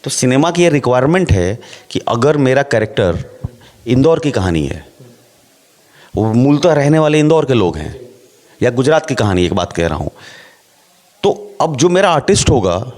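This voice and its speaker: A middle-aged man, an actor, talking in a relaxed interview and laying out his points with rhetorical questions. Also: medium pitch, moderate pace. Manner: explains at length with rhetorical questions.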